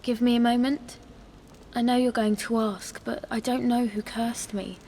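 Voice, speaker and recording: A woman's voice: on the high side, steady tone, normal speed, mellow, a little hiss or hum, close to an everyday voice. An actress playing a subdued, troubled teenage schoolgirl in a video game.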